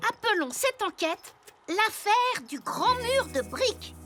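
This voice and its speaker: A cheerful little toy boy sleuth in a children's cartoon, with a very high, childlike voice. He is eager and polite, and music plays clearly behind him. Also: big pitch swings, takes it slowly, exaggerated voice. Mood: excited, determined. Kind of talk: French children's cartoon dubbing.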